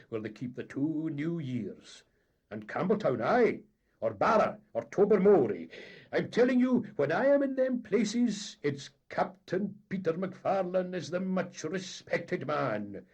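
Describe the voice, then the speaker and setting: A man voicing a vain, boastful Highland boat captain with a Scottish accent. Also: darkish tone, slightly rough, highs cut off, heavily acted. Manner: blustering, boastful.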